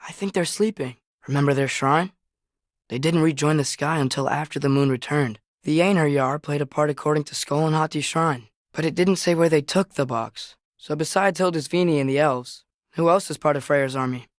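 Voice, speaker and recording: A man's voice: fairly high pitch, a little fast, no echo, close to an everyday voice. Male actor as teenage boy adventurer, earnest, questioning, casual wry manner, natural video game delivery, dry studio sound. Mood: curious, casual. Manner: casual, curious, wry asides.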